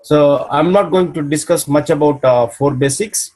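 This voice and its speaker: A man with a somewhat raised voice walks a listener through steps on a video call. He is patient and steady, tagging each point with a quick "okay?" to make sure he is being followed. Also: on the high side. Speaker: business mentor. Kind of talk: video call coaching session.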